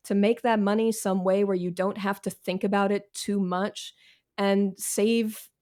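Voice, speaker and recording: A woman's voice: mid-range voice, level tone, unhurried, warm tone. A woman in her thirties talking candidly as an interview guest, frank, slangy and self-deprecating. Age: 30s. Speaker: author, podcast guest.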